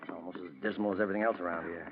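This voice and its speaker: An actor playing a gloomy, irritable and fearful country gentleman, in the manner of 1940s radio drama. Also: low-pitched, fairly even intonation, racing. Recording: dull, noisy old radio recording.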